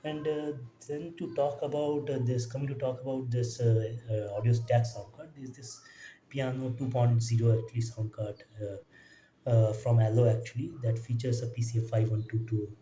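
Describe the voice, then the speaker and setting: A man giving an informal technical demo in a halting way, often tacking "actually" onto his phrases. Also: medium pitch, level tone, warm tone, slight background noise. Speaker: electronics hobbyist presenter. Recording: echoey room, distant mic, faint music.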